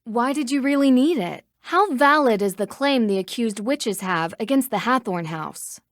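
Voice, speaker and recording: Actress young woman detective, American accent, polite, inquisitive, measured, fairly high pitch, dry studio sound, video game dialogue. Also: lively intonation, dry recording, lightly acted. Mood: inquiring. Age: young adult. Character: polite, composed young female amateur detective.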